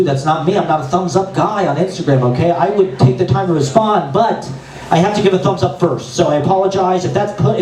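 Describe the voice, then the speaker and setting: A man hosting an open mic, introducing acts with excitable, rambling banter and casual swearing. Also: high voice. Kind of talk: emcee banter between acts. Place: open mic comedy venue. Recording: echoey room, distant mic, crowd noise.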